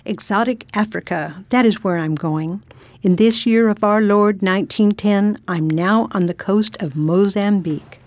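A woman's voice: medium pitch, unhurried, darkish tone, dry recording, lightly acted. A woman playing a proper, polite American lady of fifty on her first adventure abroad, in a radio drama. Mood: prim. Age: 50s. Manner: polite, prim, curious. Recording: noisy hissy recording.

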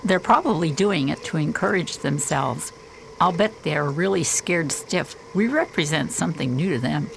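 A woman's voice: sits low, animated, normal speed, gravelly, background noise. A woman playing an assertive, skeptical psychologist in a science fiction radio drama, with a dry, argumentative manner. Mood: earnest, cautioning.

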